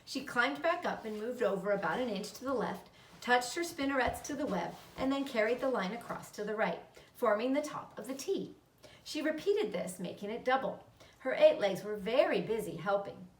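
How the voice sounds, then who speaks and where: Female storybook narrator, higher-pitched voice, read-aloud delivery, roomy recording. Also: lively intonation, moderate pace. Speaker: storybook reader. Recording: roomy echo, slightly distant mic.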